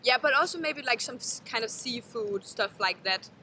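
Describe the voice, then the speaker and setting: A young Danish-accented woman, native in Danish, talks in a high and very bright voice. She is giggly and hesitant, chatting casually as an interviewee. There is a little outdoor noise behind her. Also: expressive, moderate pace. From Denmark. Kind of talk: casual chat. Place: outdoors at a beach. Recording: noisy outdoor ambience.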